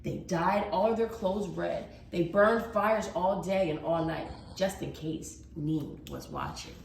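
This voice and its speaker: A woman's voice: lowish voice, vocal fry. A woman reading a children's storybook aloud as a teacher, with dramatic, emphatic expression. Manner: dramatic, with emphatic drawn-out words. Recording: roomy echo, faint background noise.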